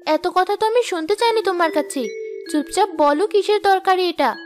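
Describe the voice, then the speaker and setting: A woman voicing a hot-tempered, boastful young village wife in a cartoon, with a demanding, sharp-tongued manner. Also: extremely high pitch, brisk, faint music behind, pitched above the performer's own voice. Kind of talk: cartoon character dialogue.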